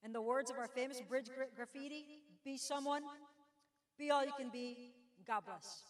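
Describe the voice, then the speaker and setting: A woman's voice: sits high, fairly even intonation, moderate pace. Earnest middle-aged woman in an echoey hall. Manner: earnest, uplifting. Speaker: guest speaker, Army veteran. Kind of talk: prepared speech to graduates. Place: school auditorium.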